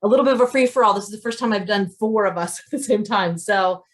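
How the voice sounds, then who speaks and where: A woman's voice: mid-range voice, fast. A woman chatting casually and effusively to viewers as an author hosting an unscripted question-and-answer livestream. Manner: effusive, often chuckles mid-sentence.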